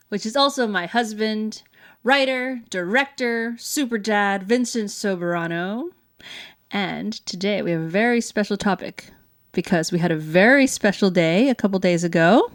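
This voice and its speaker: A woman hosts a casual family show. Her voice sits at a middle pitch. She talks in an upbeat, enthusiastic, conversational way. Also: normal speed. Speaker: show host. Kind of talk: chatty co-hosted family vlog.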